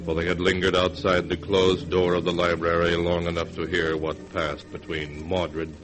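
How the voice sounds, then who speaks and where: An actor playing an ominous narrator of horror tales, speaking solemnly and dramatically in 1940s radio style. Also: male voice, low voice, level tone, normal speed, natural voice, low-quality recording. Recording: old 1940s radio recording. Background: music.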